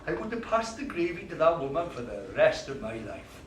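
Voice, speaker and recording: An actor playing a volatile, violent jilted man. He swears heavily and swings from hushed confiding to roaring rage. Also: on the high side, very animated, brisk, slight background noise. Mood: bitter. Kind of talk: theatrical monologue. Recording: echoey room, distant mic, music behind.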